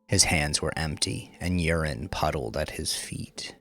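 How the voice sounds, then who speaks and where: A man with a very low voice narrates a dark crime story over music. His phrasing is measured and deliberate, with dramatic, drawn-out line endings.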